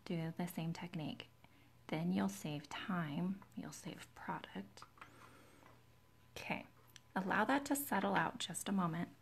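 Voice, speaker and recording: A woman hosting a live nail tutorial in a chatty, relaxed way, with a soft, breathy, soothing voice that often drops near a whisper, close to the microphone. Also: medium pitch. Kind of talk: chatty live-stream demonstration, greeting viewers. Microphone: close to the camera's microphone.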